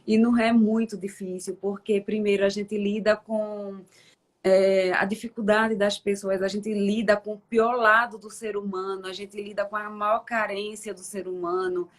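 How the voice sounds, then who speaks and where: A woman's voice: mid-range voice, little pitch movement, moderate pace. Brazilian woman judge, encouraging interviewer. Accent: Brazilian Portuguese. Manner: warm, encouraging, reflective. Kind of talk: conversational interview.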